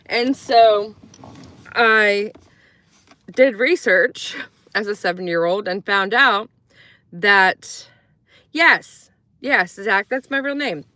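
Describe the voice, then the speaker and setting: Streamer, woman from Croatia, rambly and chatty, mid-pitched voice, dry close recording. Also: slow, long pauses, bright, forceful tone, no echo. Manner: chatty, rambling with many fillers, acts out quoted voices. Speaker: live streamer. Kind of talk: casual storytelling to a live chat.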